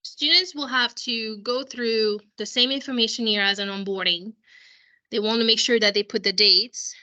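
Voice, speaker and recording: Bright-voiced woman counselor, voice fading. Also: fairly high pitch, fairly even intonation, moderate pace, muffled recording. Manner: explanatory, with frequent restarts. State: losing her voice. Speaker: school counselor. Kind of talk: explaining a software walkthrough to parents. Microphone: video call.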